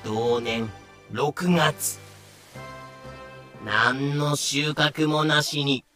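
A man's voice: a little high, steady tone, drawn-out words, pushed and bright, faint music behind. A man narrating a scripted audio drama.

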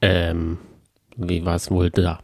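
A man's voice: low voice, monotone, slow, no echo. A man chatting casually as a podcast co-host in a colloquial, teasing way. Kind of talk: casual chat about reality TV.

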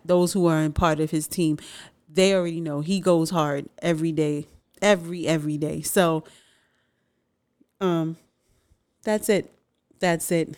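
A woman co-hosting a casual chat about viewer questions, playful and teasing, laughing often. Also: on the low side. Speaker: co-host.

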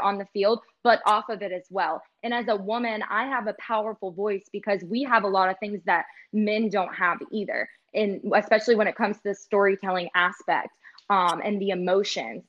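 A woman's voice: mid-range voice, fairly even intonation, moderate pace, fairly bright tone, lo-fi sound. A woman who works as a sports host and reporter, speaking earnestly in a casual interview with lots of "like" and "you know". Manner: earnest, filler-heavy with many "like" and "you know". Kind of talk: casual podcast interview talk.

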